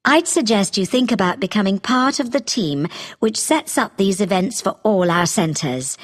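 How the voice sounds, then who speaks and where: A woman playing a businesslike hotel recruiter, giving a scripted, politely persuasive informational talk. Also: medium pitch. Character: brisk, businesslike female hotel group recruiter.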